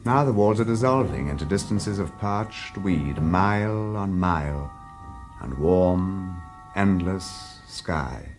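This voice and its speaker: A man narrating an audio drama in a deliberate, expressive, dramatic storytelling style. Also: deep voice. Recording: loud music under the voice. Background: music.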